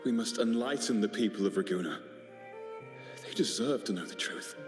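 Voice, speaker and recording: A man's voice: lowish voice, normal speed, lightly acted. A man voicing an earnest, idealistic poet turned rebel in a video game story, in a solemn, brooding monologue. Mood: solemn resolve. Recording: echoing hall reverb under music.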